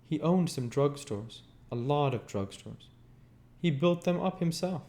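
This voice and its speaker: A man's voice: a little high, animated, unhurried, warm tone, voice pushed up. A man voicing a young society woman in an audiobook, defensive, insistent and emphatic. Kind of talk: audiobook character voice.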